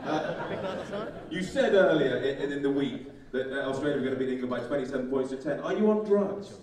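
A man's voice: medium pitch, expressive, fairly quick. A man hosting a panel, teasing his guests with cheeky banter into a handheld mic. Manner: cheeky, mocking, laughs often. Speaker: event host. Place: large hall with crowd. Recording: roomy hall, distant, loud crowd.

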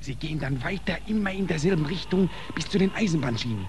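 A man's voice: a little high, expressive, fast talker, close to an everyday voice. An actor playing a curt, bossy and officious border policeman in a 1940s German radio drama. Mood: impatient. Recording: noisy old 1940s radio recording.

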